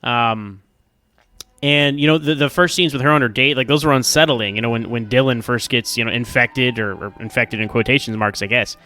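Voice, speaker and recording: Bright, crisp-voiced man reviewing casually. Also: mid-range voice, a little fast. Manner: rambling, conversational. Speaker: listener sending in voice feedback.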